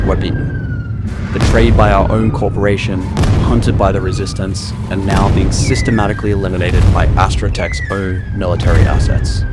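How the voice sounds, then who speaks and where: A man reading a dramatized first-person audiobook in a gritty, grim, intense storytelling manner. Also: lowish voice. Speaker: audiobook narrator. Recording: loud music behind the voice.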